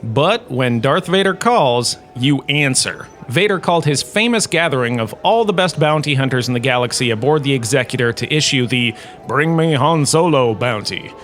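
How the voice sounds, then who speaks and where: A man playing a self-regarding, jokey professor giving a scripted comedic lecture with theatrical storytelling pauses. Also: medium pitch, lively intonation, fairly bright tone, soft background music, lightly acted. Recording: clearly noisy background ambience.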